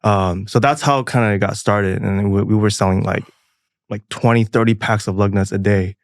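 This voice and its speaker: A man from Taiwan with a lowish, gravelly voice, speaking softly and casually with plenty of pauses and fillers. The sound is dry and close, with no room around it. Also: dry recording. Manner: soft-spoken, relaxed, many fillers. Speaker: podcast guest, parts company founder. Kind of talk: casual interview chat.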